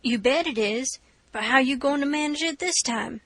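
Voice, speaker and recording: Woman playing a pushy hotheaded thief guy, blunt, high bright voice. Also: moderate pace, no room sound. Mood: insistent. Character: hot-headed thief, grown man, eager to kill.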